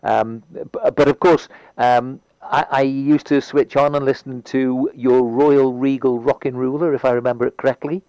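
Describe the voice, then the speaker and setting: A man's voice: mid-range voice, fairly even intonation, normal speed, few pauses, darkish tone, no echo, low-quality recording. A man with a Liverpool accent interviewing a guest, hesitant and full of restarts. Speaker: radio interviewer. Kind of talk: casual interview chat.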